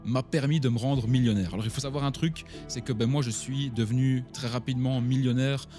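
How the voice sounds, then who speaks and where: Belgian man with a mellow voice. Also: mid-range voice, fairly even intonation, brisk, faint music behind. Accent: Belgian French. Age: 20s. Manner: chatty, addresses the listener directly, many fillers. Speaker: online entrepreneur and vlogger. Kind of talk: casual talk to camera.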